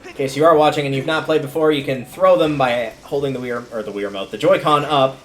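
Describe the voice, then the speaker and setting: A man's voice: mid-range voice, quick pace. A man livestreaming a video game, giving casual commentary and thinking aloud in a conversational way as he plays. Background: video game music.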